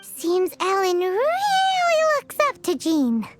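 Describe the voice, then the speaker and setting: Pint-sized game companion girl: very high squeaky voice, unhurried and chattery, blunt. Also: huge pitch swings, heavily acted. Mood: chirpy. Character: tiny childlike female companion, chirpy and blunt. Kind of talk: video game voice acting. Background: game music.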